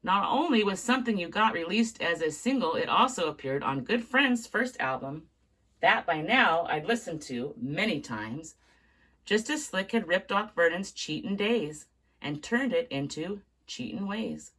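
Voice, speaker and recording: A woman reading a book aloud in an expressive storytelling manner. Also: lowish voice, unhurried, low-quality recording. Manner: expressive read-aloud, carries on through interruptions. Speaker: book reader.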